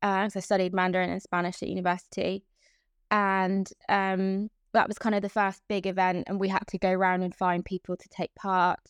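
A woman's voice: medium pitch, level tone, brisk, clean tone, no echo, clean studio sound. A young woman from Hong Kong, a guest in an interview, chatting about her path into events with frequent fillers and restarts. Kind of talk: casual interview.

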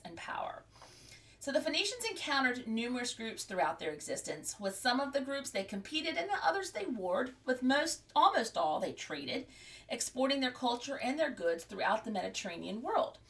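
A woman hosting a podcast, reading an article aloud with careful, deliberate pacing and frequent restarts, then signing off casually. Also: mid-range voice, gravelly, a bit bright, recorded from a distance. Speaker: podcast host.

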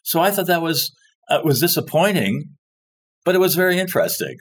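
A man's voice: a little high, big pitch swings, fairly quick. Rambling man telling a story. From born in France, grew up overseas. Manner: rambling, searches for words, trailing restarts. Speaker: guest telling stories. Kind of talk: casual conversational storytelling.

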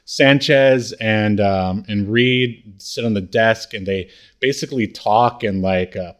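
A man co-hosting a podcast, casually recapping a TV comedy in a halting way. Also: lowish voice. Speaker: podcast co-host.

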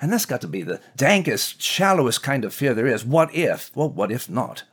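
Man playing a genteel, animated scholarly mouse. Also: medium pitch, normal speed, slightly rough. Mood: musing, animated. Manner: genteel, thoughtful, old-fashioned erudite phrasing. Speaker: co-host in character. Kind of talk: conversational devotional discussion in character.

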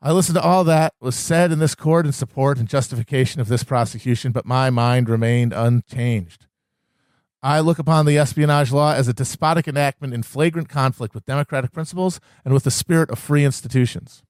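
A man's voice: medium pitch, fairly even intonation, fairly quick, darkish tone, smooth, no echo, clean studio sound. A man giving a solemn, oratorical reading of a historical political speech.

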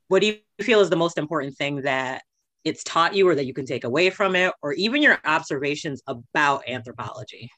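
A woman's voice: sits low, a bit bright, no echo. A woman co-hosting a podcast, asking a guest a long, thoughtful question in a chatty way. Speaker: podcast co-host. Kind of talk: casual interview questions.

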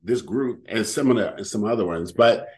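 A middle-aged man with a very deep voice, chatting casually as a podcast host at home, rambling with lots of fillers. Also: moderate pace. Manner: rambling, lively, full of fillers. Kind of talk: casual chat. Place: home living room.

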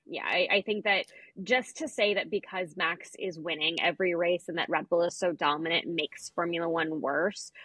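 A woman's voice: lowish voice, sharp tone, husky, no echo, rough recording. A woman co-hosting a sports podcast, talking casually and making her opinions plain with emphasis. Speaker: podcast co-host.

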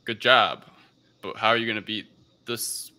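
Deep-voiced man with a very sharp, forceful sound. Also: fairly even intonation, poor audio. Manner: halting, rambling recounting. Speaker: podcast host. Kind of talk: casual film review discussion.

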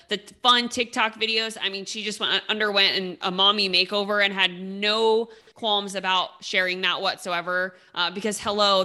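A woman hosts a casual podcast interview with a bright, forceful voice. She gushes over her guest and keeps checking in with "right?" as she talks. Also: mid-range voice. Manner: gushing, enthusiastic, lots of fillers and "right?". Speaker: podcast host.

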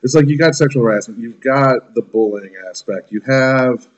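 A man's voice: medium pitch, normal speed, poor audio. A man in his thirties ranting casually as a podcast co-host, blunt, profane and irritable. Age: 30s. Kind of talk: casual opinionated rant.

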